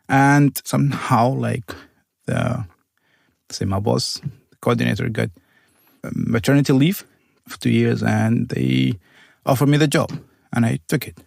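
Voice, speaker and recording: Gravelly-voiced man, Arabic native speaker. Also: mid-range voice, very animated, unhurried, long pauses, no room sound, clean studio sound. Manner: careful, searching for words, slightly non-native phrasing. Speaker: university program coordinator. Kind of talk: conversational interview answers.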